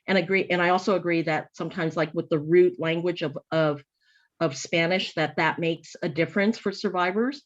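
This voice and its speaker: An earnest woman talks through ideas in a panel discussion, pausing and restarting as she works toward her point. Her voice sits a little low for a woman. The audio is muffled and lo-fi. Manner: earnest, thoughtful, with frequent restarts.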